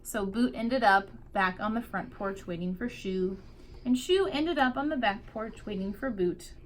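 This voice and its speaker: A woman's voice: medium pitch, unhurried, a little hiss or hum, poor audio. A woman teacher reading a picture book aloud expressively to young children, drawing out key words for emphasis.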